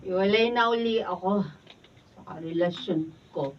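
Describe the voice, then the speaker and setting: An actress playing a bitter, materialistic young escort in a Filipino radio drama. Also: female voice, fairly low pitch, unhurried, close to an everyday voice. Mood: drunken, dejected. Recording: dull, distant room recording, faint noise.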